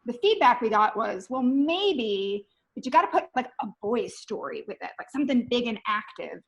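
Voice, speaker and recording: A woman's voice: on the high side, normal speed, lo-fi sound. A middle-aged woman telling a casual anecdote on a video call, animatedly acting out bits of remembered conversation. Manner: animated retelling with quoted dialogue. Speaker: television writer. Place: room at home.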